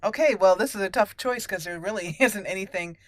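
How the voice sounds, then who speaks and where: A woman's voice: medium pitch, brisk, dry recording. A woman hosting a roleplay let's play, chatty and playful, thinking aloud as she goes. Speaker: gaming host.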